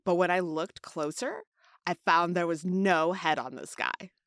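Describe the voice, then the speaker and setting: A woman's voice: steady tone, a little slow, dry recording, clean studio sound. A woman playing a chatty, slangy young restaurant worker who found a body, in an audio drama. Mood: rattled. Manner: slangy, chatty, pitch jumps high.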